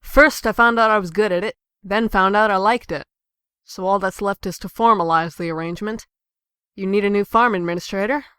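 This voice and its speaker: A woman's voice: medium pitch, brisk, a bit bright, clean tone, no room sound, close to an everyday voice. A woman playing a bitter, defiant fairy-tale sister in a comic dub, with a sarcastic, biting manner. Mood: confident, brisk. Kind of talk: dramatic comic dub acting.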